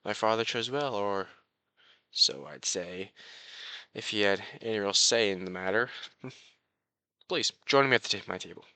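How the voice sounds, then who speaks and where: A man playing a courtly young crown prince, besotted and quietly possessive, speaking formally and floridly to the listener in a romantic audio roleplay. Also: on the low side, expressive, moderate pace, pauses often, no echo. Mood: smitten, gracious. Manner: formal, flowery, genteel speech.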